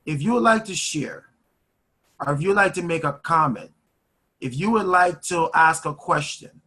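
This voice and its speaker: Gravelly, husky motivational host. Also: male voice, on the high side, pushed and bright. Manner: emphatic, repeats phrases for effect. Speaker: host and finance mentor. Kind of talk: motivational speaking on a live call. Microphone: video call.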